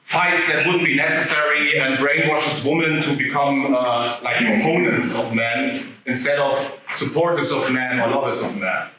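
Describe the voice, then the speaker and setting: A man giving an animated live talk to an audience, turning to them with questions. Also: medium pitch, moderate pace, few pauses, noisy background. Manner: animated, addresses the audience directly. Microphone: no microphone, picked up from afar. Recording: echoey room, voice from a distance.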